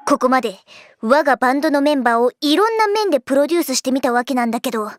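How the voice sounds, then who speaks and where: A woman's voice: sits high, animated, very fast, no room sound, studio quality. A woman playing a theatrical, bossy teenage band producer in an anime radio drama, speaking in grandiose mock speeches and boyish phrasing. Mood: mock-formal pride.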